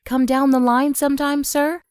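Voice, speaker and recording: A woman's voice: high voice, little pitch movement, normal speed, a little mellow, natural voice. A woman voicing a young, eager female diesel engine who is apologetic and falters as she speaks. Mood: hopeful.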